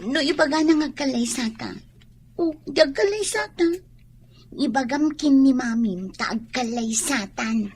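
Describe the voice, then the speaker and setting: A woman's voice: sits high, lively intonation, a little slow, darkish tone, slight background noise. An actress playing a worried, naive young pregnant student in a radio drama, pleading as she talks. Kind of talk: Ilocano radio drama acting.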